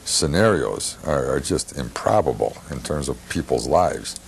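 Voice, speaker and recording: An older man hosts with a very deep, creaky voice that moves up and down in a lively way. He reminisces casually and agreeably, in a halting manner, over faint noise. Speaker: talk show host. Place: television studio.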